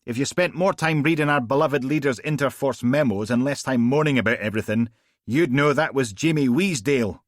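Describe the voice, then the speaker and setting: A gruff, bossy woman detective, sarcastic and teasing, voiced by a man reading aloud, pitched well above his own low register. Her lines come loud and punchy in a dry, close sound. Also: fairly quick, no echo, lightly acted.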